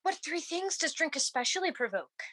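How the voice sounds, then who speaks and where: A woman's voice: high voice, very animated, normal speed, bright, forceful tone, dry recording, full character voice. A young woman playing a noble thane who questions a servant, in an amateur student Shakespeare performance. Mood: amused, questioning. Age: young adult.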